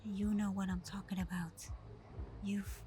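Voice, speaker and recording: A woman playing a caring, hurt vampire girlfriend in an ASMR roleplay, speaking softly and tenderly, often half whispering, with hesitant pauses. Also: medium pitch, flat delivery, slight background noise, close to an everyday voice. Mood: worried, hurt. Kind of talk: ASMR roleplay, intimate scripted acting.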